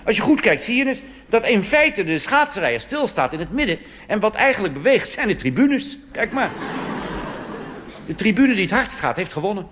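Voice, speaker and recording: Wry Dutch cabaret comedian on stage. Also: male voice, high-pitched, sing-song, moderate pace, background noise. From Netherlands. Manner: chatty, wry, full of asides and tag questions. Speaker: cabaret performer. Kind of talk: stand-up cabaret monologue. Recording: live stage recording with audience. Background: audience laughter and applause.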